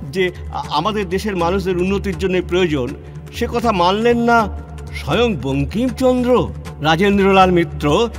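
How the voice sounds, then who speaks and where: An actor playing an ageing, stern and wounded scholar-reformer in a declamatory, emotional audio-play monologue with dramatic pauses. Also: male voice, high voice, natural voice. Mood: reproachful. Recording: music under the voice. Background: background music.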